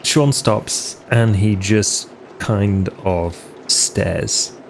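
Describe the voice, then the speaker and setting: A man's voice: on the low side, extremely slow, slight background noise. A man playing in a tabletop roleplay podcast, improvising casually in an offhand, understated way.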